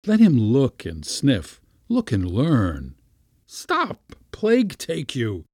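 A man's voice: medium pitch, sing-song, unhurried, a little mellow, breathy edge, no echo, clean studio sound. A man voicing a pompous, short-tempered Latin schoolmaster in a storybook reading, scolding and giving orders. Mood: commanding. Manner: scolding, commanding, ranting. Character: irascible Latin schoolmaster uncle, pompous and furious.

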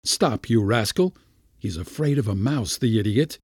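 A man's voice: on the low side, expressive, moderate pace, darkish tone, slightly rough, no room sound, studio quality. A man voicing a pompous, short-tempered Latin schoolmaster in a storybook reading, scolding and giving orders. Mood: exasperated. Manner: scolding, commanding, ranting. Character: irascible Latin schoolmaster uncle, pompous and furious.

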